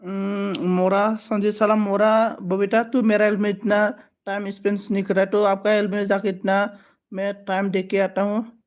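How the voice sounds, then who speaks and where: Guy doing a live stream, high voice, kinda flat, thanks everyone over and over. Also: moderate pace. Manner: switches between Bodo, Hindi and English phrases; repetitive thanks and requests for support. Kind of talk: casual live stream chat with viewers.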